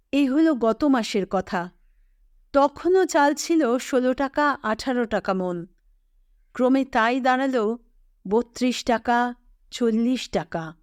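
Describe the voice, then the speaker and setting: A woman narrating a literary audio story in a measured, expressive storytelling manner. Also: a little high, no echo, studio quality.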